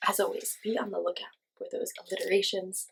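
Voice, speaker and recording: A woman teaching young children, giving simple encouraging instructions and asking questions, with pauses for answers. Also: mid-range voice, flat delivery, creaky, slight background noise. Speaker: children's class teacher and host. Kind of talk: children's lesson with guided movement.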